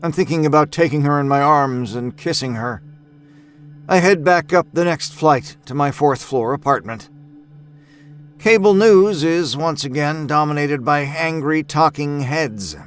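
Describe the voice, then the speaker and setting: Man narrating a dark tale over music. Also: fairly high pitch, a little slow. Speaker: audio fiction narrator. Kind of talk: scripted first-person horror story narration.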